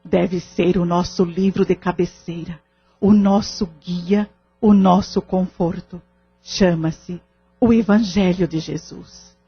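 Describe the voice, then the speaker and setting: A woman playing a calm, devout spiritist group leader in an audio drama, husky and measured, with formal, counselling words. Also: lowish voice, dull audio, slight background noise.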